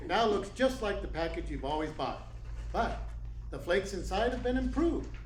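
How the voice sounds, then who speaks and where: Man playing a high-pitched, lively radio announcer. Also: moderate pace. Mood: upbeat selling. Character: eager 1940s radio announcer pitching soap. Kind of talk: 1940s radio advertising. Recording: echoey room with faint noise.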